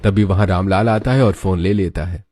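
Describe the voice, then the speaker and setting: A man reads scripted narration for a cartoon tale. His voice is fairly low and distinctly dark and mellow, in a storyteller's delivery. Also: fairly even intonation, normal speed, soft background music.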